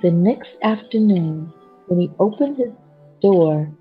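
A woman storyteller with a husky voice reads a children's bedtime story expressively, with chatty asides to young listeners. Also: on the low side, animated, takes it slowly, soft background music. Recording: dull, lo-fi sound.

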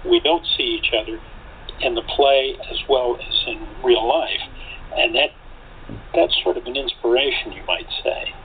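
Halting man on a phone-like line. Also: mid-range voice, big pitch swings. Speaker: actor, interview guest. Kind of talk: casual interview chat. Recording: tinny phone-like call with hiss.

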